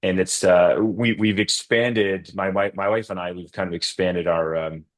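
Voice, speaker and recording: Deep-voiced man chatting in an interview. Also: normal speed. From Massachusetts, US. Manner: relaxed, many "uh" fillers and restarts. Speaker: podcast guest, coffee company co-founder. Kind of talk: casual interview chat.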